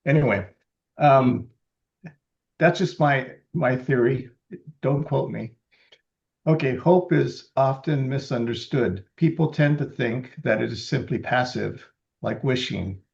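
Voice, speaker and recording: A man in his seventies from Los Angeles reads his own poems slowly and deliberately, with halting, chatty asides between them. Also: mid-range voice, gravelly, a little mellow, muffled recording. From Los Angeles, USA. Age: 70s. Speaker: poet reading his libretto. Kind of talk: poetry reading with casual asides.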